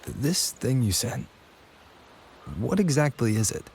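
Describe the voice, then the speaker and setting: A man's voice: mid-range voice, very animated, slow pace, a little mellow, a little hiss or hum. A man playing a serious young wanderer hero in a video game, speaking in a terse, restrained way. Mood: curious. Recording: music behind the voice.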